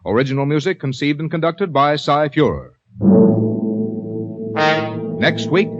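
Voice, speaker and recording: A muffled old radio recording with faint music. An American man announces formally in a fairly high voice, in polished 1940s radio style. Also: animated, a little slow.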